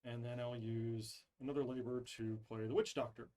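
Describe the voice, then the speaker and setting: A man's voice: mid-range voice, fairly even intonation, normal speed, mellow. The fellow across the game table who knows the rulebook, a man casually clarifying each card as he goes. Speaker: board game player explaining card rules. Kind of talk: casual tabletop play chat.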